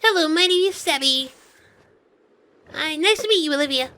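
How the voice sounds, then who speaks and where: A woman's voice: high-pitched, expressive, normal speed, pushed and bright. A woman voicing an eager, playful child warden for a fan-made game series, chirpy and forward.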